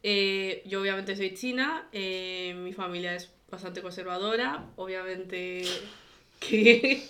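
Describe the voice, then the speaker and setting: Young woman, medium pitch, informal and explanatory, casual interview guest. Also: fairly even intonation, measured pace, a bit bright. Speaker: podcast guest.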